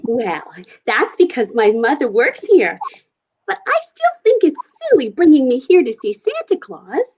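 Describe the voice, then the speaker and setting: A woman's voice: high-pitched, huge pitch swings, a little slow, slightly rough, muffled recording, exaggerated voice. A woman playing a polite, sensible, skeptical young girl with precise, matter-of-fact speech in a 1940s-style radio play.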